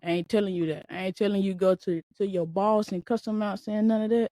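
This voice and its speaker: Mellow-voiced young woman podcasting. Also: medium pitch, steady tone, a little fast, no echo. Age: 20s. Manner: casual, repetitive, emphatic, preachy. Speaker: podcast host. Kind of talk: solo motivational podcast talk.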